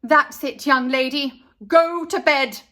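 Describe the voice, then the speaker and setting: A woman voicing an exasperated, scolding mother in a children's storybook, loud, emphatic and commanding. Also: sits high, steady tone, takes it slowly, heavily acted. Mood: angry, stern. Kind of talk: rhyming storybook character.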